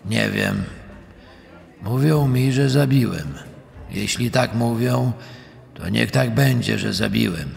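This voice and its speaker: A man's voice: medium pitch, creaky. An actor playing an apathetic, evasive middle-aged man accused of murder, answering with hesitant resignation in a courtroom re-enactment. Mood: sullen, resigned. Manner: slow, hesitant, flat resignation. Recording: echoing hall reverb.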